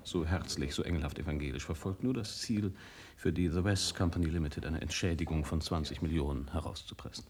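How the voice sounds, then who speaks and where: An actor in a radio play voicing a cynical diplomat who talks with sardonic, florid wit. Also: male voice, rumbling low, brisk, few pauses, a little mellow, slightly rough.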